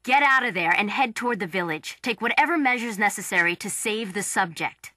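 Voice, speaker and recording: A young American mission operator, bright and forward in tone. She is crisp, composed and professional, briefing an agent in a video game, with a very dry, close sound. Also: mid-range voice, lively intonation, a little fast, dry recording. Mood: urgent, firm. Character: businesslike young female mission support operator.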